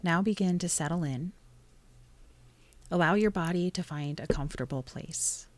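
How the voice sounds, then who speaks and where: A woman narrating a guided meditation in a soothing, gently instructive way, pausing between short phrases. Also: on the low side.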